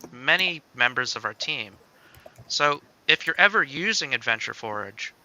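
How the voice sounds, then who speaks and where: A man's voice: mid-range voice, bright, forceful tone, smooth. A man chatting casually as he co-hosts a demo livestream as a game developer.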